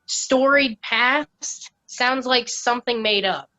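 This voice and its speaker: An actress playing a blunt, casual, outspoken board member in a staged play reading over a video call. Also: female voice, fairly high pitch, slow pace, sharp tone, low-quality recording.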